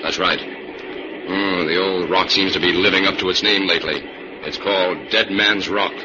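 An actor playing a calm, assured crime-fighting scientist in an old-time radio drama. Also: male voice, sits low, normal speed, close to an everyday voice. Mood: calm, explanatory. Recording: muffled, noisy old radio recording.

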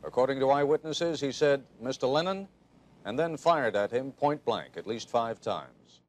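A man's voice: medium pitch, expressive, normal speed. A man reading a formal news report from an archival broadcast.

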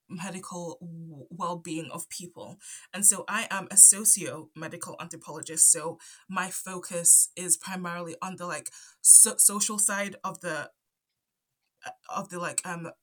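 A woman's voice: lowish voice, sharp tone. A woman in her twenties from Zambia with a stutter, answering interview questions hesitantly with many fillers and restarts. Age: 20s. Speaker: guest, anthropology PhD student.

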